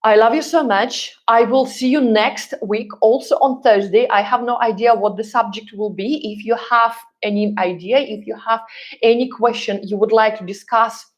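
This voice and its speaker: A woman's voice: a little high, fairly even intonation, normal speed. A woman whose first language is Russian, hosting a live stream and answering viewers' questions in a chatty way full of fillers and restarts. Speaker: relocation consultant and host.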